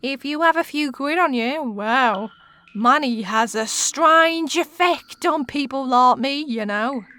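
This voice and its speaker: A woman's voice: sits high, slow, sharp tone, full character voice. A woman with an English accent playing a brash, teasing witch and jailer on the make in a comic radio sitcom, full of slang and mouthy banter. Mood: sly, wheedling.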